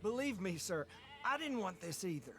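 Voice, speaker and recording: A man's voice: high voice, very animated, normal speed, gravelly, over loud music, heavily acted. An actor playing a frightened, stammering male debtor who pleads for mercy in a Western video game. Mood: pleading, afraid.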